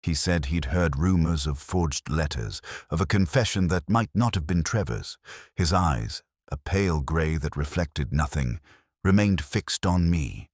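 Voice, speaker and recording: A man reading scripted first-person detective fiction in a measured, literary, brooding manner. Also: a bass voice, lively intonation, no room sound, studio quality. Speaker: narrator.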